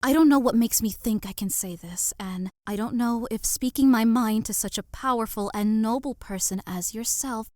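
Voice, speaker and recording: A woman's voice: medium pitch, dry recording, studio quality, close to an everyday voice. A woman playing a young noblewoman in an audio drama, a respectful but stubborn daughter who speaks earnestly and politely. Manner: polite, earnest, quietly defiant. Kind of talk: modernized Shakespeare audio drama acting.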